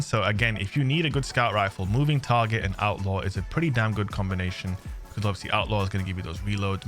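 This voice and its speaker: Chatty English gaming host, slightly ill. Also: male voice, lowish voice, fairly bright tone. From England. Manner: chatty, jokey, slangy, addresses viewers as friends. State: a little ill, under the weather. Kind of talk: gameplay commentary.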